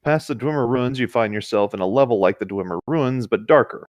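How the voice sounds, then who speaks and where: A man's voice: medium pitch, fairly quick, a little mellow, dry recording. A man reading a story aloud as co-host in a casual, conversational way, with dry asides.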